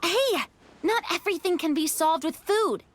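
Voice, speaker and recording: A woman's voice: extremely high pitch, expressive, moderate pace, slight background noise. A woman voicing a practical, outspoken young girl adventurer in a video game, with a direct manner. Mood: scolding. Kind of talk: animated video game voice acting.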